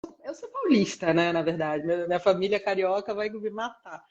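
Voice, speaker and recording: A woman's voice: mid-range voice, expressive, a little fast, a little mellow. A middle-aged woman with a blended Rio and São Paulo accent speaks as a guest in a casual live conversation. She rambles a little and leans on tag questions, and the call audio sounds dull and muffled. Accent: Brazilian, mixed Rio and São Paulo. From Brazil, born in Rio and raised partly in São Paulo state. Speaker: interview guest, lawyer with own practice. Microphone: internet video call.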